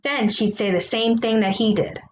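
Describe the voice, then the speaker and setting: A woman reading a children's storybook aloud, with expressive, deliberate phrasing. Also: a little high, slight background noise. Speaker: storybook reader.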